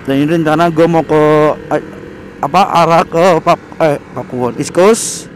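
A young man chatting excitedly in a casual riding vlog, recorded on a helmet camera while on a motorbike in the city. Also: a little high, moderate pace, rough recording. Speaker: motovlogger. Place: on a motorbike on city streets, outdoors. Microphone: helmet-mounted action camera. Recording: loud wind and traffic noise.

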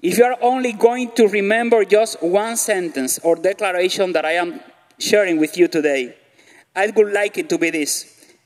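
A man in his thirties with a Cuban accent, preaching as a guest on a church stage with a slow, careful delivery. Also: high voice. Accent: Cuban Spanish. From Cuba. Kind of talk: sermon. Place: church stage, large hall. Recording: long reverb of a church hall.

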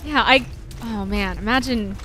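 A woman's voice: fairly high pitch, measured pace, pushed and bright, a little hiss or hum. A young woman from the Netherlands, co-hosting a casual gaming stream, chatting and laughing often. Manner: chatty, laughs often, reads out viewer comments. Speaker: livestream co-host.

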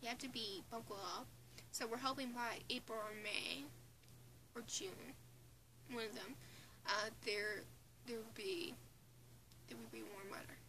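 A woman's voice: fairly high pitch, unhurried, pauses often, noisy background. An eighteen-year-old woman with a Newfoundland accent, chatting casually into a camera in her bedroom, rambling with restarts and giggles. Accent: Newfoundland English. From Newfoundland, Canada. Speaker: vlogger. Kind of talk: casual opinion vlog. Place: small bedroom under a bunk bed, indoors. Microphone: phone or webcam close in front.

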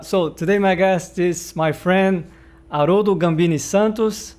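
High-voiced male podcast host. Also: a little mellow. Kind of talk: interview host, reading the intro. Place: home office.

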